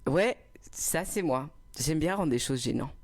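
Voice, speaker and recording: A man's voice: a little high, animated, a little slow. Playful young man chatting on a livestream. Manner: playful, teasing, self-mocking storyteller. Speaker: livestreamer reading chat comments. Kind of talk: casual livestream chat. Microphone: cheap small clip microphone.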